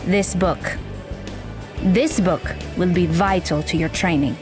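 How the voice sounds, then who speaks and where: An actress playing a blunt, aloof young magical mentor who talks in a dry, deadpan way in an audio drama. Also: female voice, lowish voice, takes it slowly. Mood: instructive. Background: background music.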